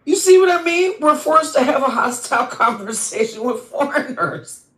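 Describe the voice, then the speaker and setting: A man's voice: very high voice, lively intonation, moderate pace, sharp tone. A man taking part in an online group discussion, chatting casually and argumentatively. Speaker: participant in a group audio room. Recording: echoey online group audio.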